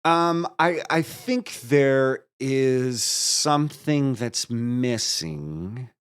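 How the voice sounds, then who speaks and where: A man answering questions as an interview guest in a casual, rambling way, often halting and interrupting himself. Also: medium pitch, animated, clean tone. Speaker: interview guest, marketer and musical creator.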